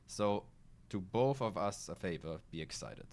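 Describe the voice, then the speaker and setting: A man's voice: sits low, animated, unhurried, a little mellow. A man from Germany with a German accent, a native German speaker, streaming a video game live with casual commentary, reading aloud and sweary, rambling asides.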